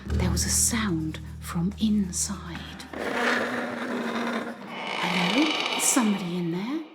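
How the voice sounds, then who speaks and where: A woman's voice: on the low side, animated, slow pace, over loud music. A woman playing an anxious, curious new governess in an audio drama, earnest and questioning. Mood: hesitant. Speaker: amateur actor. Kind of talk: community audio drama acting.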